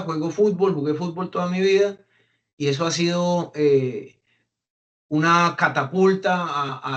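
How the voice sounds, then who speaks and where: A man's voice: fairly high pitch, a bit bright. A young adult man from Colombia with a Colombian accent, speaking conversationally to an audience with many fillers and restarts. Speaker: guest speaker on migration. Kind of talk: casual talk to an audience.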